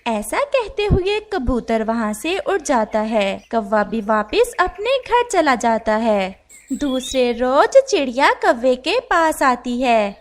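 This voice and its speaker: A woman's voice: high-pitched, very animated, slow pace. A woman narrating a moral animal story for children.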